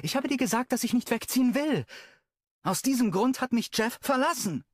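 A man reading an audiobook and voicing a fiery, sharp-tongued young woman in a raised, high register. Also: animated, moderate pace, no room sound. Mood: angry.

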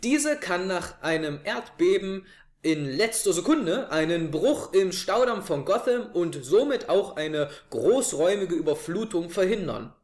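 A young man hosts a review show with a fairly high voice. He presents to camera in a living room, and the recording is clearly echoey. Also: sing-song, a little slow. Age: 20s. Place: small living room, indoors on a couch.

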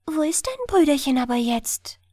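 A woman reading a bedtime fairy tale, voicing a mysterious, friendly water nixie with a light, coaxing, gently curious way of talking. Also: high-pitched, big pitch swings, moderate pace, no echo, studio quality. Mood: curious, pleased. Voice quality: bright, light voice.

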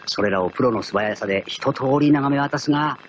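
An actor playing a cocky, proud gentleman thief, narrating his own exploits theatrically in a radio drama. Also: mid-range voice, a little fast, lightly acted. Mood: sly, composed. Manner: theatrical, self-assured storytelling. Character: cocky gentleman thief, proud self-styled genius, wry. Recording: dull lo-fi archive radio recording, loud music.

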